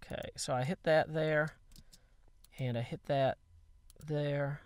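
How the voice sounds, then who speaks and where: A man's voice: a little high, fairly even intonation, takes it slowly, smooth, dry recording. A man chatting casually while playing a building game, thinking aloud with many fillers and restarts. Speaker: game player. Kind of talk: casual gameplay chat.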